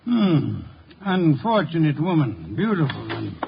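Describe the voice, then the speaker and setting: An imperious, eccentric detective in a vintage radio play. The voice is fairly high for a man, with pompous, dry phrasing, on a dull recording with faint noise. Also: sing-song, gravelly, heavily acted. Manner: pompous, dry, deliberate diction. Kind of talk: 1950s radio drama acting. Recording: muffled, noisy 1950s radio recording.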